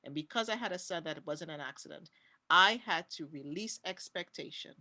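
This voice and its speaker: Bright, emphatic Trinidadian woman. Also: deep voice, normal speed. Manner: emphatic, preachy, repeats key words. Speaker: conference panelist. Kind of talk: impassioned talk on a panel.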